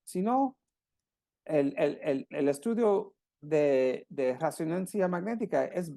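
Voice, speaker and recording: A Puerto Rican man lectures on medicine in slow, halting Spanish, circling back over his words. His voice is gravelly and mellow. The sound is dry and close, as on a video call. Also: on the high side, lively intonation, dry recording. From Puerto Rico. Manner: halting, with many restarts and repeated words. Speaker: radiologist lecturer.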